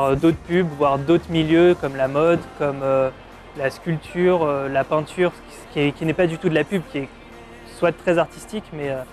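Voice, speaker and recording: A man in his late twenties from Paris speaks in a casual interview style. His voice sits a little high for a man. He talks reflectively, often searching for the next word, with faint music underneath. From Paris, France. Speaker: advertising art director being interviewed. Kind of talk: casual on-camera testimonial.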